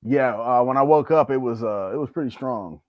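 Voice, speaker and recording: A man's voice: mid-range voice, a little fast, dry recording, dull audio. A man on a podcast panel, chatting casually and reacting to what was played. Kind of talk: casual chat.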